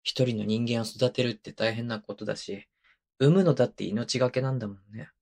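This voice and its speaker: A man's voice: mid-range voice, steady tone, a little fast, vocal fry, a little mellow. Playful, clingy young husband acted by a man. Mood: earnest, tender. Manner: coaxing, teasing, casual and hesitant. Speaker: voice actor. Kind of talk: intimate ASMR situation voice drama. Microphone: close microphone, binaural.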